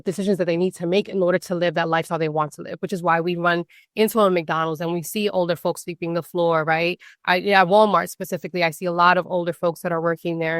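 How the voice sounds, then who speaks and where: A woman's voice: on the low side, no room sound, studio quality. A woman speaking as a guest on a podcast, explaining her work as a financial social worker in a thoughtful, conversational way and often checking in with "right?". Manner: thoughtful, leans on "right?" tag questions and "like" fillers. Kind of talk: casual podcast interview.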